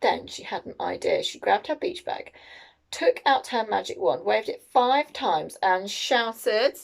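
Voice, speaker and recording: A woman reading a picture book aloud to children in an expressive, teacherly, questioning way. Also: medium pitch, measured pace, creaky.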